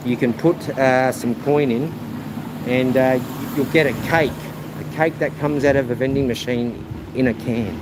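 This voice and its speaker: A man live-streaming as he walks, chatting loosely to viewers in a loud, projecting voice. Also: mid-range voice. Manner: chatty, rambling, addresses viewers as guys. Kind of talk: casual walking live stream. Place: outdoors on a busy rural roadside. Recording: outdoor stream with loud traffic noise. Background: loud traffic and motorbike noise.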